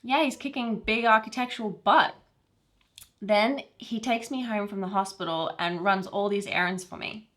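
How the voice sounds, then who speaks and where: A woman's voice: mid-range voice, fairly even intonation, normal speed, a bit bright, close to an everyday voice. A woman playing a chatty newlywed who confides a romantic tale in a conversational comedy monologue. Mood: earnest explaining.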